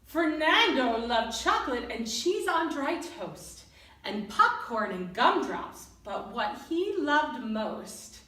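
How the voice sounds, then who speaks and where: A woman reading a children's story aloud expressively and deliberately, pausing to put questions to young listeners. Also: high voice, a bit bright. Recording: roomy echo, distant mic.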